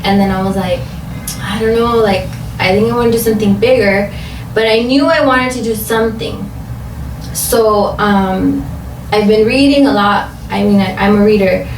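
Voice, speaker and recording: A woman from Los Angeles, a guest in a casual interview at home, talking in a relaxed, hesitant way with many ums. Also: medium pitch, moderate pace. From Los Angeles, USA. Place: home craft room. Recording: roomy, distant, noisy home recording.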